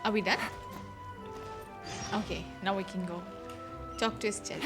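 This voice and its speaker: A woman game streamer reacting casually and haltingly to a live playthrough, muttering to herself over game music. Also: medium pitch, steady tone.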